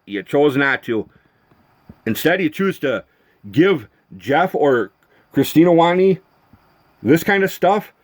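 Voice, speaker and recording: A man with a slightly high voice delivers an exasperated, accusatory rant. He repeats his points and piles up rhetorical questions, swearing as he addresses someone absent.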